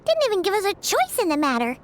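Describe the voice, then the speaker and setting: A woman voicing a tiny floating female companion who is excitable and chatty and speaks of herself in the third person, in a video game cutscene. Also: very high voice, fast, a little hiss or hum, heavily acted. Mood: excited, chatty. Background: game background music.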